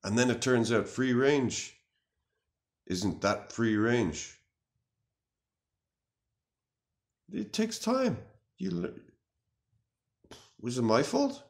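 A man in his thirties playing an anxious, earnest, melodramatic self-styled warrior in a halting, mock-serious monologue to camera. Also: mid-range voice, very animated, vocal fry, voice pushed up, exaggerated voice. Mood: agitated, defensive. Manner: halting, grave, deliberate delivery with long pauses. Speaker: comic video responder. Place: small room indoors.

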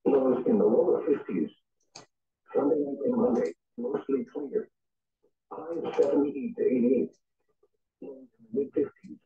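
A man's voice: mid-range voice, wildly swooping pitch, a little slow, vocal fry, pauses often, distant mic. A synthesized man's voice reading a scripted weather forecast over the radio in a formal, impersonal, clipped manner. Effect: synthesized voice played over a weather radio. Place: radio playing in a home room, picked up from a distance. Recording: tinny band-limited radio, picked up from across a room.

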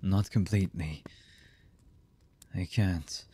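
Man acting a very slow, husky brooding anime villain. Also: low voice, steady tone, exaggerated voice. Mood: guarded, softening. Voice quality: husky, breathy. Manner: slow, halting, hushed delivery with long pauses. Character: brooding, distrustful young male anime villain, guarded but wounded. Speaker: voice actor. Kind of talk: villain boyfriend ASMR roleplay.

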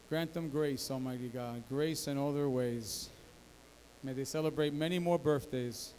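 A man's voice: medium pitch, mellow. A man serving as pastor, leading a congregation in a fervent prayer with a rhythmic, repeating cadence in a large church sanctuary.

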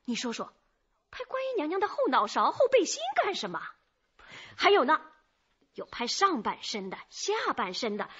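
An actress in a radio drama playing a gossipy, eager store employee who talks in a chatty, emphatic, insinuating way. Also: female voice, high-pitched, fairly bright tone, highs cut off.

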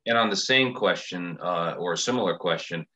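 A man's voice: low-pitched, normal speed, sharp tone, lo-fi sound. A man in his sixties interviewing a guest over a video call, asking questions with many hesitant fillers. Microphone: webcam on video call.

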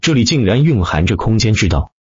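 A man's voice: on the low side, sing-song, brisk, slightly rough, no room sound, dull audio. A man voicing a bold, direct young male cultivator in a fantasy audiobook. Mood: astonished. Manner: direct, questioning.

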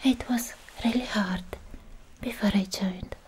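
A woman playing a shy, lovestruck young demon slayer in a whispered, halting ASMR roleplay, sweet and romantic, close on the microphone. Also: mid-range voice, slight background noise, natural voice, low-quality recording. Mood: tender, apologetic. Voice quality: soft, breathy whisper. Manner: hesitant, bashful, halting with many pauses.

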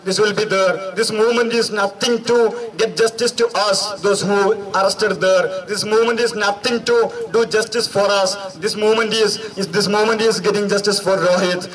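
A man in his twenties with an Indian accent giving an emphatic political speech, repeating his phrases for weight. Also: sits high. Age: 20s. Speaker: student activist speaker. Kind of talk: impassioned political speech at a rally. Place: outdoor night rally stage. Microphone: handheld microphone through PA. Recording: distant PA sound with crowd around.

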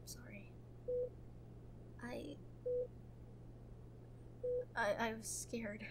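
A woman playing a protective, prickly young girlfriend in a roleplay audio drama, tender but bossy and halting when vulnerable. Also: on the high side, little pitch movement, a little hiss or hum, rough recording. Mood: vulnerable, earnest. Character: protective, prickly young woman, frightened for her injured lover.

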